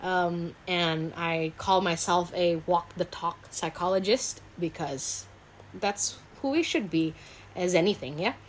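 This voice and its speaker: A woman's voice: fairly low pitch, slow, slight background noise, rough recording. A woman from Indonesia speaking as a guest psychologist in a casual interview, candid and self-reflective in an informal way. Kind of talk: casual video interview chat.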